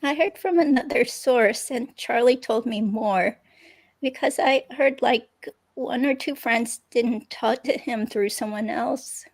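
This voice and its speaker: A woman's voice: a little high, a little slow, poor audio. A woman reading the part of a chatty, curious ex-girlfriend in a police interview, in casual, hesitant phrasing. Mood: gossipy.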